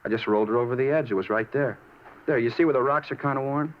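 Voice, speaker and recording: An actor in a 1950s radio drama playing a moody, self-justifying murder suspect who rambles insistently and casually. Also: male voice, mid-range voice, fast, natural voice. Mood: plaintive self-justifying. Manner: rambling, insistent, casual about his crime. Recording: muffled 1950s radio, faint noise.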